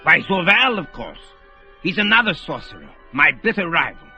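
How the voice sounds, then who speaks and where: A fretful old sorcerer. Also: male voice, fairly high pitch, big pitch swings, moderate pace, vocal fry, dull audio. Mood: worried. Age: older. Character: aged male sorcerer, fretful, proud, feuding with his son. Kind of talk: old-time radio drama acting. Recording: old radio recording with music score.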